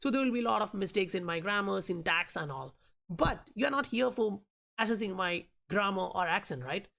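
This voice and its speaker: A woman's voice: mid-range voice, expressive, a little fast, vocal fry, fairly bright tone. A woman with an Indian accent who is not a native English speaker, hosting a channel and explaining price charts with frequent fillers and restarts. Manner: explanatory, many fillers like "you know" and "uh". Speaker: crypto chart analyst, channel host. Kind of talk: talking through price charts in a vlog.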